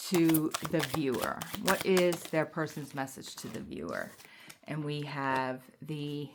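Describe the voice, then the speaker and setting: Female tarot reader, low-pitched and unhurried, casual spiritual delivery, faint noise behind. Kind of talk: casual spiritual card reading. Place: indoors at a table, away from home.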